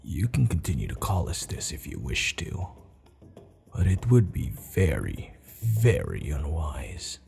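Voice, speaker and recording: A man playing a proud, teasing, commanding barbarian warchief in an ASMR roleplay, gravelly and measured, with formal phrasing. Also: low voice, very animated, dark tone, soft background music, full character voice. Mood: teasing, stern. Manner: slow, measured, stern yet amused, formal phrasing.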